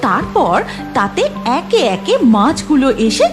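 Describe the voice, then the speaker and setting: A woman reads scripted cartoon narration in a high-pitched voice. Her intonation is highly varied. Music plays clearly underneath. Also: sing-song, a little slow.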